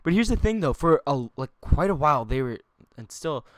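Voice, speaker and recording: A man's voice: on the high side, sing-song, fairly quick, fairly bright tone, no echo. A young man hosting a casual chat, rambling and joking with lots of fillers. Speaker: host.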